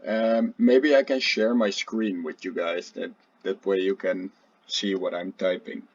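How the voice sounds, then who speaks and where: A man speaking briefly and practically, a little halting, in a group video call meeting. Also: low-pitched, steady tone, slightly rough. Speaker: project group member. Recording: distant, muffled video call audio.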